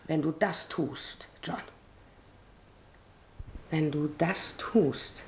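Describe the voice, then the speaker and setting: A woman's voice: sits low, drawn-out words, a little mellow, a little hiss or hum, exaggerated voice. A woman acting in a German radio drama as a practical, long-suffering middle-aged wife, pleading and reproaching insistently. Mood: quiet warning.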